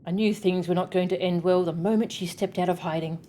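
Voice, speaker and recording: A woman's voice: lowish voice, steady tone, fairly quick, mellow, slight background noise. A woman game streamer chatting casually to her viewers, informal and given to muttered asides. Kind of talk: casual live stream chat, reading game text aloud.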